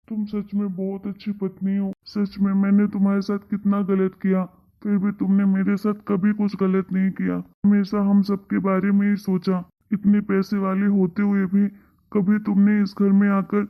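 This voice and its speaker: A man playing a young husband in a cartoon family melodrama, with a flat, earnest delivery. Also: sits high. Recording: tinny, phone-like lo-fi audio.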